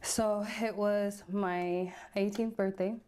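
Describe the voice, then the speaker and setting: A woman's voice: mid-range voice, fairly even intonation, takes it slowly, darkish tone, smooth. A woman from South Sudan giving personal testimony as an interview guest, in a hesitant, reflective way. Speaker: interview guest telling her life story.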